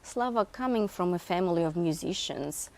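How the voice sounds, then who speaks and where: Low-pitched woman interviewer. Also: lively intonation, normal speed, darkish tone. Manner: encouraging, frequent affirmations. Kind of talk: interview questions.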